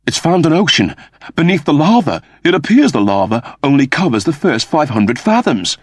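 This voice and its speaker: A man's voice: on the high side, sing-song, normal speed, no echo, clean studio sound, voice pushed up. Man doing a polite, unflappable robot butler. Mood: unruffled, formal. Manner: soothing, deferential, cheerful understatement. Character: polite, unflappable male servant android.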